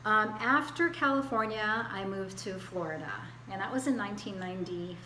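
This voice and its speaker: A woman in her forties introducing herself in a homemade class video, hesitant and full of pauses and restarts. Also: mid-range voice, pushed and bright, roomy sound, a little hiss or hum, rough recording. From Hawaii, US. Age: 40s. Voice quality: hesitant, many fillers and restarts. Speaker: student.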